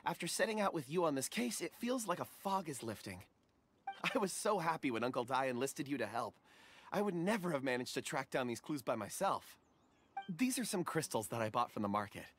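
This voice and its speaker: An actor playing a gentle, polite young scholar with a soft, courteous voice. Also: male voice, on the high side, very animated, brisk. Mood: warm. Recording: game audio with faint ambience.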